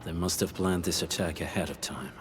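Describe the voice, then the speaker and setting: A man's voice: low voice, a little fast, close to an everyday voice. An actor playing a stern, decisive male soldier in a video game, clipped and matter-of-fact. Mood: firm. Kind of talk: dramatic video game voice acting. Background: game music.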